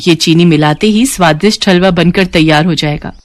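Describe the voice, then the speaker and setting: A woman's voice: fairly low pitch, normal speed, natural voice. A woman voicing a benevolent female fairy in a storybook tale, gracious and reassuring.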